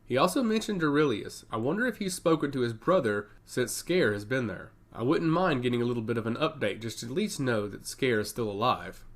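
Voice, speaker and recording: A man's voice: mid-range voice, lively intonation, brisk, few pauses, lightly acted. A man voicing a proud, diplomatic noble sister in a fantasy audiobook, with haughty, articulate delivery. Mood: confident.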